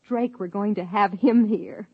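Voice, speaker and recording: An earnest scientist wife in a 1950s radio drama, brisk and persuasive. Her voice is slightly breathy and dry, and the old broadcast sound is muffled. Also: medium pitch, no room sound. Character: eager scientist wife, narrator of the story. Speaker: narrator and lead character.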